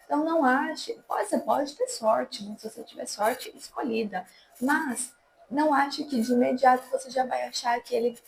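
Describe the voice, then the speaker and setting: Chatty young woman with gravelly fry. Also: on the high side, a little hiss or hum, low-quality recording. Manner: chatty, lively, addresses viewers as gente. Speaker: language-learning YouTuber. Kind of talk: casual talking-to-camera advice.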